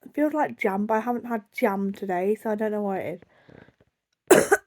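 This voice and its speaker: A woman's voice: mid-range voice, expressive, normal speed, a little mellow. A young woman with a British accent rambling casually through a storytime vlog, chatty, self-deprecating and easily sidetracked. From United Kingdom. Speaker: video blogger.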